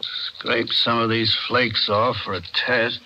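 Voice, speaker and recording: An actor playing a brisk, methodical police crime lab lieutenant in a 1950s radio drama, technical and matter-of-fact. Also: male voice, medium pitch, flat delivery, natural voice. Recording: muffled, noisy old radio recording.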